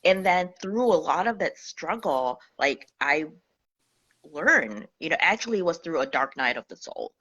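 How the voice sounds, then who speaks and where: Woman, from Singapore, fairly low pitch, animated storytelling, interview guest, video call. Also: normal speed, slightly rough, highs cut off. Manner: animated storytelling, acts out her own past thoughts. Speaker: guest, Akashic Records healer. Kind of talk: casual interview chat.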